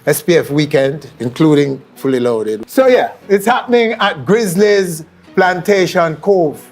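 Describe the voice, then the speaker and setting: A man's voice: a little high, animated, unhurried. A middle-aged Jamaican man hosting an interview show, putting questions to his guests. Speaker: television interview host.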